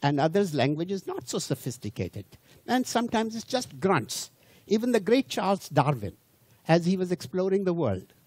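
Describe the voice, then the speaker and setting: Animated, high-voiced male lecturer. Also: slightly rough, dry recording. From India. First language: not English. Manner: unhurried, with restarts and repeated words. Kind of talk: public lecture to a cruise audience.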